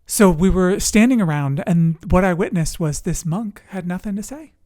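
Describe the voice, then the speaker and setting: A middle-aged coach telling personal stories to camera in a conversational, expressive and deliberate way, with dramatic pauses and repetition. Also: mid-range voice, dry recording, studio quality. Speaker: emotional resilience coach and show host.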